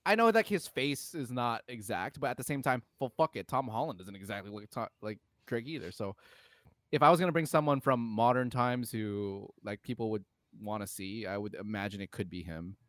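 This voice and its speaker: A man's voice: on the low side, lively intonation, a little fast, a bit bright, no room sound. A man co-hosting a casual film discussion, speaking bluntly and informally with some swearing. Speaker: podcast co-host.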